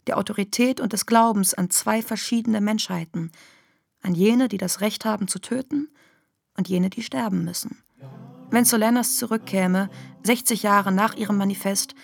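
An actress playing an embattled, defiant feminist internet activist, delivering a sardonic, polemical manifesto in a radio drama with a husky voice. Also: female voice, mid-range voice, dry recording. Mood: grim, polemical.

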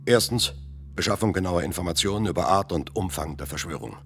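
A methodical male leader in a radio drama. His voice is low and deep. He briefs with brisk authority, point by point. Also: fairly bright tone. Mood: resolute, commanding. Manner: structured, commanding, brisk.

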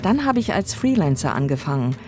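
Warm, lively German voice-over woman. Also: deep voice, brisk, faint music behind. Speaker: voice-over translator. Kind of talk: scripted voice-over translation.

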